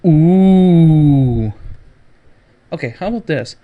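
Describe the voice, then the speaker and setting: A man's voice: on the high side, lively intonation, drawn-out words, clean tone, phone-quality sound. Male podcast host, casual solo gaming commentary, blunt and opinionated, sweary, prone to sarcastic asides. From Canada.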